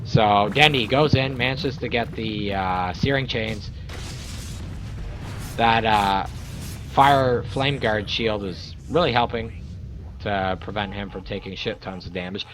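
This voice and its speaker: Excitable amateur man casting a game. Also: on the low side, slow pace, noisy background. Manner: excitable, rambling, swears casually. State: voice getting raspy. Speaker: game caster. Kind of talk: amateur esports play-by-play commentary.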